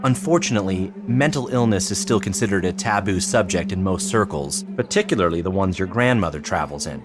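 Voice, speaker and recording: A man's voice: low voice, expressive, brisk, background noise. An actor playing an earnest, persistent man posing as a psychiatrist in an audio drama, polite and persuasive. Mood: measured, persuasive. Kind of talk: full-cast audio drama acting. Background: music.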